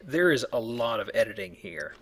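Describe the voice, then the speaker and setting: A man's voice: mid-range voice, animated, normal speed, gravelly, fairly bright tone. A man hosting a Let's Play, explaining things in a casual, conversational commentary. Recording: faint background noise.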